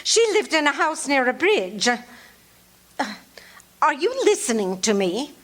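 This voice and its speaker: A high, swooping voice for a nervous, demanding bedridden wife, as an actress plays her on a stage radio play. She frets and scolds, stammers and restarts, her pitch leaping all over the place. It is live radio-theatre acting in front of an audience. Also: very animated, slow pace, exaggerated voice. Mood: anxious, insistent. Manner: indignant, fussy, stammering. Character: fretful, imperious bedridden wife, older woman. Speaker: lead actor in a radio play.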